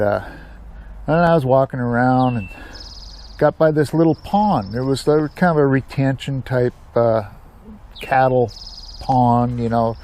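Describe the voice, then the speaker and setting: A man's voice: mid-range voice, dark tone. An American man from the Seattle area telling personal stories casually as a channel host, in a folksy, colloquial, rambling way. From United States, Seattle area. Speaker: storyteller, YouTube channel host.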